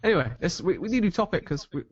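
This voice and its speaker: A male host with a fairly high, very lively voice, steering a loose group chat. The sound is muffled, low-fidelity audio from a computer mic on an online call. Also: fast talker, husky. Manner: animated, lively pitch swings. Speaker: podcast host. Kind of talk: casual chat.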